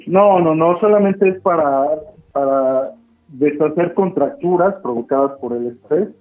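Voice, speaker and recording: A man's voice: a little high, unhurried. A young man with a Mexican accent speaking as a courteous, reassuring spa receptionist on the phone. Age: young adult. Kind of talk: polite customer service phone talk. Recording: muffled phone line, faint music.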